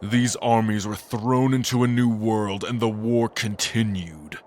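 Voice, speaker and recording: A man's voice: lowish voice, steady tone, a little mellow, a little hiss or hum, natural voice. A man playing an ancient, scheming angel lord in a fantasy audio drama, speaking in a pompous, sanctimonious and menacing way. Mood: solemn. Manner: pompous, slow, menacing declamation.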